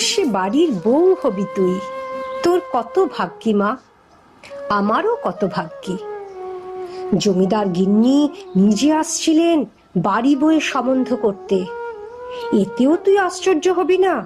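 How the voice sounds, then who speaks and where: Woman acting high-pitched, fretful old village mother. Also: big pitch swings, unhurried, pauses often, music in the background. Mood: astonished, pleading. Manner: exclamatory, pleading, colloquial village speech. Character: anxious elderly village mother, fretful and easily astonished. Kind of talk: animated fairy-tale cartoon dialogue.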